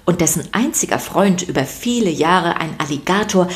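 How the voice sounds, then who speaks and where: Low-voiced woman telling stories for children. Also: expressive, normal speed. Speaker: podcast host and story narrator. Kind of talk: scripted narration for a children's podcast.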